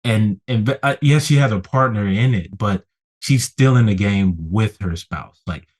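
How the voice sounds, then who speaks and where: A male podcast host with a husky, slightly raspy voice, pitched on the low side. He asks long, winding questions and encourages his guests along. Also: moderate pace, a little mellow. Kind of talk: casual interview talk.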